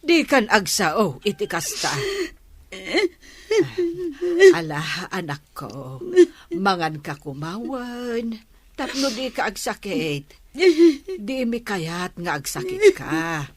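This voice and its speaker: A woman acting as a kind, reassuring caretaker figure in a radio drama, gentle and unhurried. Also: medium pitch, sing-song. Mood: gentle coaxing. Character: kind, soothing woman fussing over loved ones.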